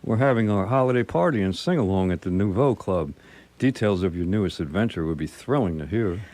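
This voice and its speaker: A man's voice: low voice, lively intonation, brisk, a little mellow. A man acting in a radio play as a courtly, sociable young senator with formal, florid speech. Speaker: actor in a community radio play.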